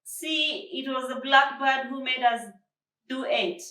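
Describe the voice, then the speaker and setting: A woman's voice: high-pitched, slow pace, bright, forceful tone, pitched above the performer's own voice, exaggerated voice. A woman voicing a guilty male crook in a storybook, sheepish, defensive and pleading like a caught child. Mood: pleading, insistent. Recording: roomy echo, distant mic.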